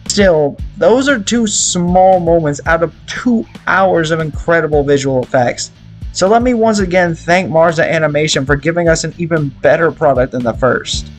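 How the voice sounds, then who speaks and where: A young adult man delivering a scripted movie review as an enthusiastic, opinionated narrator. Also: a little high. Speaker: video reviewer. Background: background music.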